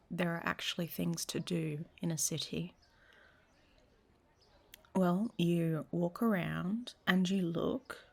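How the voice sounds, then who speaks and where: A worldly, wry woman traveler, voiced by a woman in an audio roleplay, teasing and often dropping to a half-whisper. Her voice is low, husky and gravelly, and she takes her time over every word. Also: a little mellow, full character voice. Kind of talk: audio roleplay addressed to the listener.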